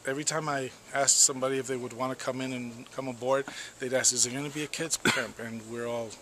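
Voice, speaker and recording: Man, camp organizer, loose chatty speech, medium pitch, light noise. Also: steady tone, fast, a bit bright, poor audio. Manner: casual, rambling. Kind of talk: interview answers.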